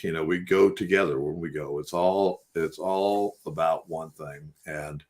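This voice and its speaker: A man from West Virginia with a very low voice talks earnestly on a podcast. He rambles and preaches about helping people. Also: steady tone, a little slow, a little mellow. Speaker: host. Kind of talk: casual spiritual talk on a radio podcast.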